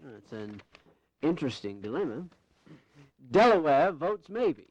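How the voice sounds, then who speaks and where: The presiding officer of a colonial assembly in a history drama. His voice is slightly high for a man. He calls out with brisk, formal authority, keeping proceedings in order. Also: big pitch swings, no room sound, muffled recording. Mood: procedural, dry. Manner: formal, crisp calling out.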